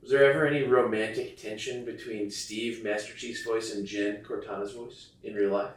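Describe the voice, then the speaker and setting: A man's voice: lowish voice, steady tone, moderate pace, a little hiss or hum. Male interviewer in an echoey room. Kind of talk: casual interview questions.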